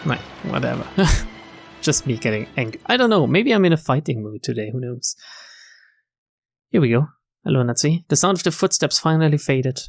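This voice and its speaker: A man streaming a visual novel, reading it aloud with expressive, casual commentary. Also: mid-range voice, normal speed, vocal fry, no room sound, faint music behind. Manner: expressive reactions, casual swearing. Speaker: game streamer.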